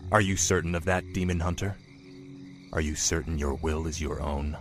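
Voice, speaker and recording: An actor playing a cryptic human agent who serves a dark master, speaking in a smooth, insinuating way. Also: male voice, rumbling low, fairly even intonation, moderate pace, slight background noise. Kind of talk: video game cinematic acting.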